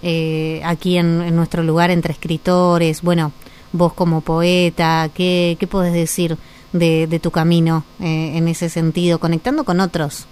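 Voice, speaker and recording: A woman with an Argentine accent, asking questions as an interview host in a halting, attentive, conversational way. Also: fairly low pitch, little pitch movement, darkish tone, clean tone.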